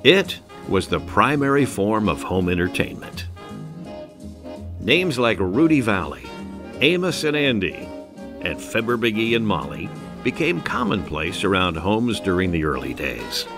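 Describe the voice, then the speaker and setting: A man reading scripted documentary narration in a measured, even delivery. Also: on the low side. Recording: music bed under voice.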